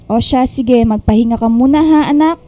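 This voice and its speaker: A woman's voice: fairly high pitch, a little fast, warm tone, lightly acted. A woman playing a caring older Filipino mother in a radio drama, fretting and scolding with motherly emphasis. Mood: coaxing.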